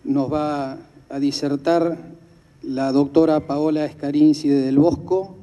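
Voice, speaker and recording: A man introducing the next speaker at a conference, slow and ceremonious. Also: a little high, fairly even intonation, warm tone. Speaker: event presenter. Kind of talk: formal introduction. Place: conference hall. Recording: dull, echoey hall.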